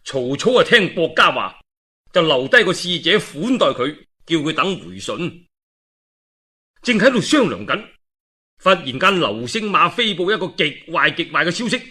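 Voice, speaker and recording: A man's voice: on the high side, moderate pace, husky. A man with a Cantonese accent telling a historical tale in a colloquial, dramatic oral storytelling style. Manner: colloquial, animated, dramatic asides.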